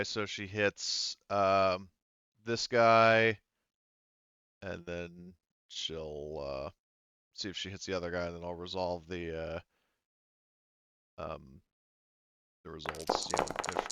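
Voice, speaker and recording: A man's voice: sits low, fairly even intonation, slow pace, long pauses, pushed and bright, smooth, dry recording, muffled recording. A man acting as game master in a tabletop roleplaying game, talking through rulings casually.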